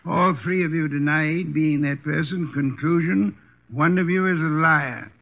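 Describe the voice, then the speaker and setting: Pompous, gravelly old-time radio detective. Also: male voice, on the high side, measured pace. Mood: commanding. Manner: pompous, formal, precise diction. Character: imperious, testy, large-brained armchair detective. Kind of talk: 1950s American radio drama acting. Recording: dull lo-fi vintage radio recording.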